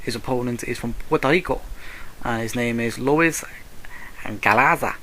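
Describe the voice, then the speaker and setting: A man's voice: medium pitch, moderate pace, bright, forceful tone, a little hiss or hum, rough recording. A British man giving casual solo boxing commentary in a blunt, slangy, opinionated way. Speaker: boxing vlogger.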